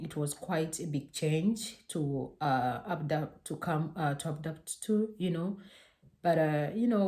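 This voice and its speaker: Young woman from uganda vlogging, low mellow voice, lots of uhs and restarts. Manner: hesitant, many fillers and restarts. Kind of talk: casual to-camera vlog.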